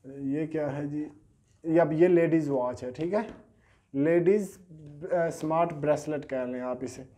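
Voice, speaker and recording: Brief: thirties man selling gadgets, chatty and hesitant, slightly high, mellow tone, lapel mic. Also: a little slow. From Pakistan. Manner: chatty, hesitant, repeats fillers and sales catchphrases. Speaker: online gadget seller. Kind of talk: casual product unboxing. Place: small indoor studio at a table.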